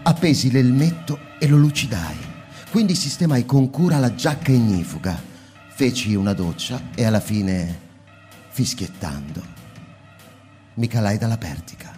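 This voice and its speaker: A man's voice: medium pitch, animated, a little slow, a little mellow, lightly acted. An actor as a book-burning fireman narrating over music. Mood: quiet. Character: fireman who burns books, middle-aged man. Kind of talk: radio drama narration with music.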